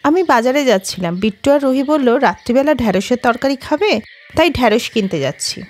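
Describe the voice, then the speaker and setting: A woman voicing a feisty young housewife and mother in a cartoon, in an animated, expressive way. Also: sits high, quick pace. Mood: friendly, homely.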